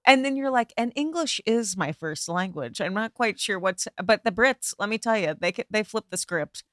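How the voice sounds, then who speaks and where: Podcast co-host, mid pitch, teasing and laughing, dry room. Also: expressive, fairly bright tone, no echo. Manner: playful, quick to laugh and tease. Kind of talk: casual podcast chat.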